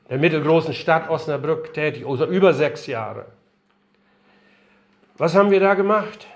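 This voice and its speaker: An older man giving an earnest, emphatic lecture-style sermon in a conference room, his voice a little strained from being unwell. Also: fairly high pitch. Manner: earnest, emphatic, rhetorical questions. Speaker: missionary speaker.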